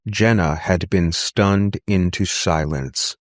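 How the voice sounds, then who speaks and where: Deep, slow-paced man narrating dramatically. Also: a little hiss or hum. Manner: deliberate, suspenseful. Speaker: audiobook narrator. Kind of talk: dramatic scripted story narration.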